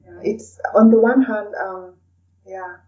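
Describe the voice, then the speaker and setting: A woman's voice: mid-range voice, fairly even intonation, takes it slowly, darkish tone, recorded from a distance, muffled recording. A woman from Kenya talking reflectively as an interview guest, in casual conversation, often tagging her sentences with "you know".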